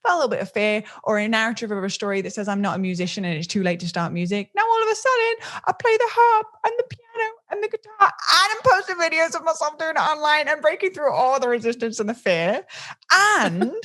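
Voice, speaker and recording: A woman's voice: high-pitched, animated, fast, fairly bright tone. A woman hosting a podcast over a video call, talking in a gushing, dreamy way. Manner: gushing, dreamy, with playful put-on voices. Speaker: podcast host.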